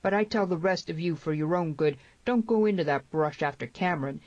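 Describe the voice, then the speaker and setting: A woman voicing a gruff, protective, hot-headed cowboy in a western audiobook. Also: a little fast, a little mellow, no echo, muffled recording, lightly acted. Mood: pained, warning. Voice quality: deep, broken.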